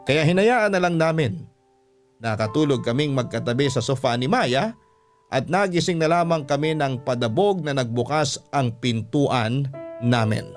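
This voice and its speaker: A man with a Filipino accent reading a scripted first-person story as a radio-style storyteller. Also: medium pitch.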